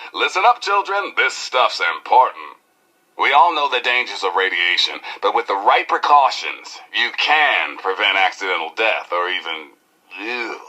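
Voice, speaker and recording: A rebellious American wasteland DJ from a video game. His delivery is forceful and very lively, with unhurried showman phrasing and slangy, preachy patter aimed at his listeners. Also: medium pitch, full character voice, rough recording. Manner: showy, punchy, slangy, preachy. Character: brash, rebellious male wasteland radio DJ. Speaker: radio DJ and host. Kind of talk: high-energy radio DJ patter.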